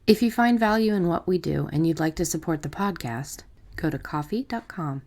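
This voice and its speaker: A woman's voice: sits low, a little mellow, no room sound. A woman speaking as a podcast host, chatting casually and reading out listener questions.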